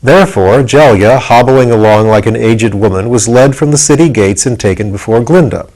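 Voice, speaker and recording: A man's voice: mid-range voice, normal speed, a little mellow, rough recording. A man reading aloud as the narrator of a storybook, in scripted narration. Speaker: narrator, audiobook reader.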